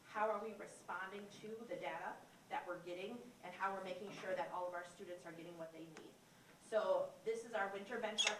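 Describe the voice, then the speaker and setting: A woman, a district curriculum director, giving a formal, upbeat presentation to a school board in a meeting room. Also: mid-range voice. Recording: echoey room, far from mic, noisy.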